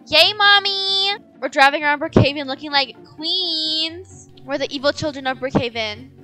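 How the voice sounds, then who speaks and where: A young woman giving excited roleplay gameplay commentary in a dramatic, exclamatory, sassy way. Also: high-pitched, normal speed, soft background music. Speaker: gaming video host and player. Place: small indoor gaming room. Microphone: headset.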